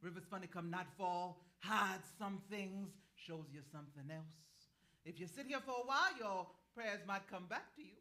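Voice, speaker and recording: A woman's voice: mid-range voice, expressive, moderate pace, pushed and bright. A woman playing a sly, earthy, ageless river woman in a staged play reading, with a folksy, teasing, knowing way of talking. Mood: knowing, scolding. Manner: folksy, teasing, chuckling drawl of a wise elder.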